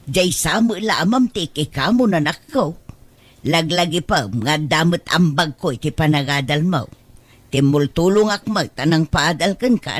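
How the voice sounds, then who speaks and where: A woman's voice: low voice, full character voice. A woman with an Ilocano Filipino accent playing a needy, reproachful middle-aged mother in an audio drama, plaintive and nagging.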